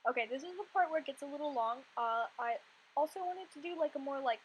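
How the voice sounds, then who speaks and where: A young woman in her twenties, slightly hoarse from losing her voice, chatting casually over gameplay in a rambling, filler-heavy way. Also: sits high, fast talker, slight background noise, low-quality recording. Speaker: Sims content creator.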